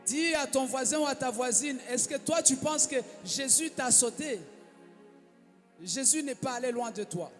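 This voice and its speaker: A man interpreting a sermon live, matching the preacher's intensity and shouting along. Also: very high voice, normal speed. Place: church hall. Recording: echoey hall with music.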